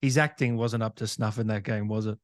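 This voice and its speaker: A man's voice: lowish voice, fairly even intonation, a little fast. An Australian man hosting a casual interview, chatting and asking questions. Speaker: host, interviewer.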